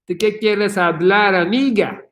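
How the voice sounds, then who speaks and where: A man hosting a casual livestream Q&A, answering viewer questions in an energetic, profane way with many fillers. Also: sits high, measured pace.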